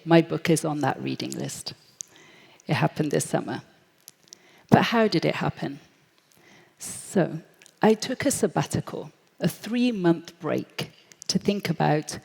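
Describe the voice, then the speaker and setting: British woman in her thirties, low gravelly voice, storytelling speaker, big echoey hall. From Britain. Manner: lively storyteller, acts out quoted voices. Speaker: speaker at a forum. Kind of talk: storytelling talk. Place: large hall. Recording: echoey hall, long reverb.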